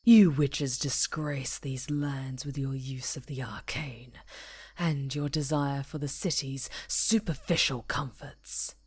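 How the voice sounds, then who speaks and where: Woman acting a breathy, low werewolf chieftain. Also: fairly even intonation, darkish tone, dry recording, full character voice. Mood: bitter scorn. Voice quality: breathy, husky whispering. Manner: slow, grave, formal pronouncements. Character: fearsome female werewolf chieftain, solemn, proud, scornful of outsiders. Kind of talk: ASMR roleplay acting.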